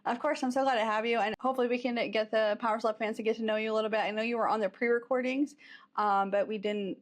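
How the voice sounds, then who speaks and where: A woman's voice: a little high, steady tone, fast. A woman hosting a podcast, chatting casually as she interviews a guest.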